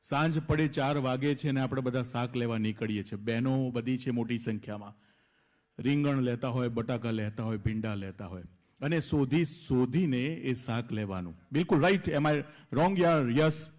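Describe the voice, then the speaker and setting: A man's voice: mid-range voice, moderate pace, darkish tone. A man working as an event anchor, addressing an audience with light humour in a conversational, questioning manner. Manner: conversational, rhetorical questions to listeners. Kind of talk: humorous stage anchoring to an audience.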